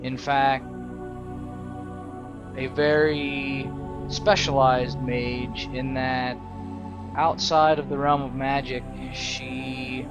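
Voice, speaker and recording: Slow-talking man narrating role-play. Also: medium pitch, level tone. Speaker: gaming video host. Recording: loud new-age music behind the voice.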